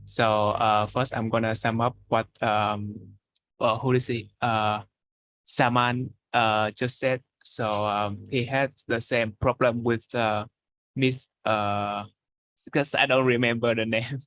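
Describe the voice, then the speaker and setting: A man's voice: medium pitch, fairly even intonation, slow, a bit bright, dry recording, poor audio. A man from Vietnam, learning English on a video call from his room, hesitant and full of fillers. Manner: hesitant, many fillers, nervous laughs. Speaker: English learner in an online class.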